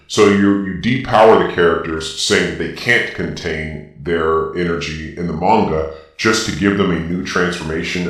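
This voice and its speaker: A man speaking through a robotic villain-style voice filter, giving informal, opinionated commentary and explaining his reasoning point by point with emphasis. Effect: deep robotic Darth Vader-style voice filter. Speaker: video host. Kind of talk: informal opinionated ranking commentary, partly improvised. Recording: very echoey, distant from the mic.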